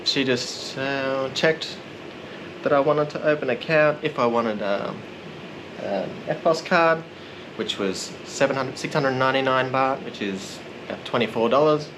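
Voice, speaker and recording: A man narrating a casual vlog in a relaxed, rambling, self-deprecating way. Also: mid-range voice, background noise. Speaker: vlogger.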